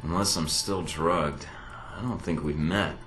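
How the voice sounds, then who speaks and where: Low, dry, wisecracking secret agent. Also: male voice, measured pace, fairly bright tone, roomy sound, faint music behind. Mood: wry flirting. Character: cocky sardonic male secret agent recruit.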